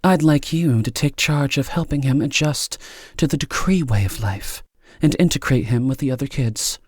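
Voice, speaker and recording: A man's voice: medium pitch, normal speed, a little mellow, husky, no room sound, studio quality, voice pushed up, lightly acted. A man voicing a stern, disdainful woman in authority for an audiobook, speaking in a clipped, impatient and condescending way. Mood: cold, commanding.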